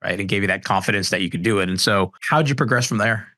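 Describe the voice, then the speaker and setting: A man's voice: fairly low pitch, fast talker, bright, forceful tone. A man co-hosting a business podcast, asking long rambling questions with many "right?"s and "you know"s. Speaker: podcast co-host. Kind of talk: conversational podcast interviewing.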